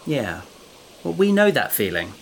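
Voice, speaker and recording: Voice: medium pitch, very animated, measured pace, vocal fry, a little hiss or hum, poor audio. An actor playing a caring, practical space adventurer in a sci-fi audio drama, with an earnest, reasoning way of talking. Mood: soothing, tender.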